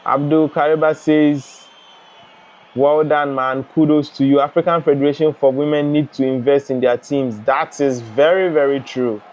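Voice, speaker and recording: A young man from Ghana hosting a live football watch-along, chatting and reading out viewer comments in a relaxed way. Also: fairly high pitch, normal speed, dull audio. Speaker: live stream host.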